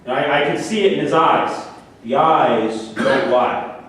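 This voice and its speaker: An actor in a staged play reading, playing a delusional, defensive murder defendant who speaks earnestly and insistently, repeating words haltingly. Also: male voice, fairly high pitch, a bit bright. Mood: insistent, troubled. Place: staged reading in an echoey room. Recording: echoey room, distant mic, faint noise.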